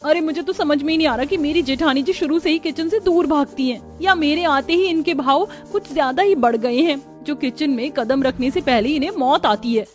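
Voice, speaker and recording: A woman's voice: high voice, a little fast, hardly any pauses, soft background music, voice pushed up, lightly acted. A woman voicing a resentful, complaining young daughter-in-law in a cartoon, with a sarcastic, dramatic, nagging manner. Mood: grumbling.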